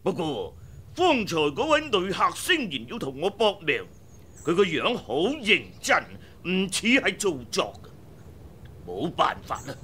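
An actor playing a proud, stern martial-arts master and manor lord in a Hong Kong Cantonese radio drama. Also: male voice, high-pitched, very animated, measured pace, pushed and bright, slightly rough, full character voice. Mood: indignant, brooding.

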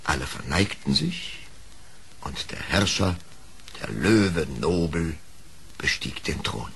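Very deep-voiced male storyteller, expressive. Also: takes it slowly, gravelly, a little hiss or hum. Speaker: narrator. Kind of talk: scripted fairy-tale narration.

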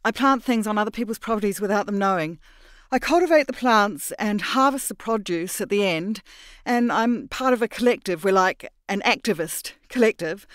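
Reader voicing a nervous woman, high breathless voice, rushed bluffing, dry sound. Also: big pitch swings, no room sound.

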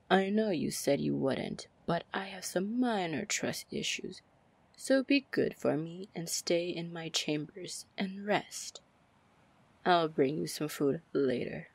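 Woman voicing a female pirate captain, flirty, confident, low-pitched, close and dry, fantasy ASMR roleplay. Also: no room sound, exaggerated voice. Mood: firm, protective. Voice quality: breathy, low, husky. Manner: slow, deliberate, smooth-talking. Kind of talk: ASMR roleplay acting, fantasy audio drama.

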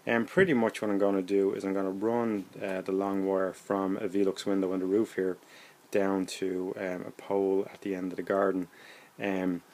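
A man's voice: sits low, flat delivery, moderate pace, a little mellow. A man in his forties with an Irish accent, giving a casual, unscripted how-to explanation in a hesitant, plain-spoken way, recorded indoors on a phone. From Ireland. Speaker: hobbyist presenter. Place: indoors in a room at home.

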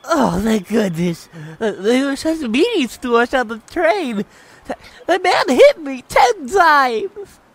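A man puts on a whiny, babyish voice, very high and swooping. He drags the words out slowly in a pleading way to fake innocence. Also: very animated, no echo, exaggerated voice. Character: thief faking a whiny childish innocent act.

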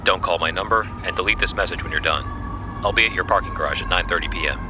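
Low voiced cop, secretive n terse, leaving a voicemail, lots of background noise. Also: male voice, fast talker. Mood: urgent, secretive. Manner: terse, clipped, urgent. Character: secretive male police detective leaving urgent message. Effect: voicemail playback.

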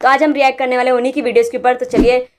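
A young woman talks through clips in a high, lively voice, teasing and exclaiming as she reacts. A faint hiss sits under her voice. Her tone stays casual and mocking throughout. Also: very fast. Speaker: reaction vlogger.

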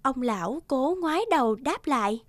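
A woman's voice: sits high, expressive, moderate pace, dry recording, studio quality. A woman narrator reading a scripted storybook tale. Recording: loud guitar music underneath.

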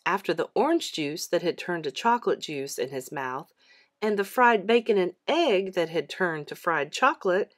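A woman reads a children's story aloud as narrator. Her mid-pitched voice moves expressively up and down through the sentences.

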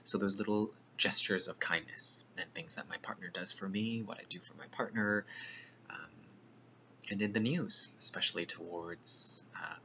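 A man's voice: deep voice, moderate pace, bright, forceful tone. A man in his thirties, a playwright, talking informally and reflectively into a computer in a plain room. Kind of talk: informal reflective talk to camera. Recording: dull lo-fi webcam sound, background noise.

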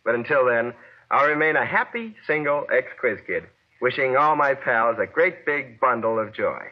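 A man's voice: medium pitch, expressive, normal speed, slight background noise. Young male radio correspondent, mid-range voice, delivering a scripted 1940s news roundup on a muffled old broadcast. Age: young adult. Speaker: guest correspondent, former child quiz contestant.

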